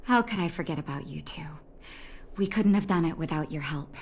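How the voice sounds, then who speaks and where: Caring, lowish-voiced woman doctor. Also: animated, fairly quick, slight background noise. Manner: gentle, polite. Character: Natasha, a caring, composed adult female clinic doctor. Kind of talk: voiced game story dialogue.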